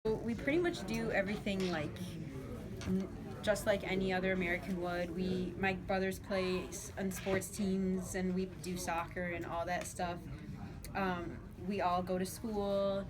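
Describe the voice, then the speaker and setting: A woman's voice: mid-range voice, steady tone, unhurried. Chatty young woman, crowd behind. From Dearborn, Michigan, US. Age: 20s. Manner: chatty, many fillers like "um" and "like". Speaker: student interviewee. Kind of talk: casual interview answers.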